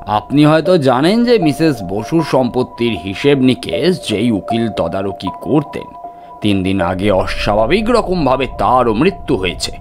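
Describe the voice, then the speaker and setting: Man acting an explanatory police investigator, mid-pitched. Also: natural voice. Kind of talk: Bengali detective audio drama acting. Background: music.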